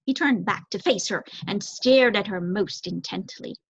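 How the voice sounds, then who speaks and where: Young lady narrating a kids book, mid pitch, close dry room, kinda dull lo-fi audio. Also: measured pace, creaky, husky, no room sound. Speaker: storybook reader, student. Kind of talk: scripted children's story narration.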